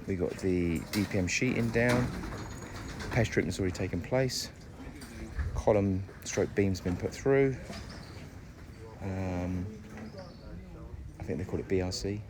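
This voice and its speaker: A man giving a casual, step-by-step walk-through of his house build outdoors, talking with plenty of ums. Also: low voice. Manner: chatty, explains step by step with many ums. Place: outdoor construction site. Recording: loud outdoor site noise.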